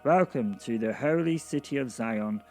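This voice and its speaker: Guy doing a sleepy flustered little heaven gate clerk for an audio drama, polite and halting, voice pushed up and louder, music under it. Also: mid-range voice, pitched above the performer's own voice, lightly acted. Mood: rote, nervous. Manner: halting, polite, recites greetings by rote.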